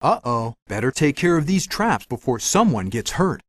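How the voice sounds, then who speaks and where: A man's voice: medium pitch, sing-song, moderate pace, no room sound. A man voicing a talking magic mirror in a video game, giving hints in a theatrical, playful, wry way. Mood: helpful, wry. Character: talking magic mirror guide, male, animated and helpful. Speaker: video game hint-giver. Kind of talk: scripted game voice acting, hints and quips.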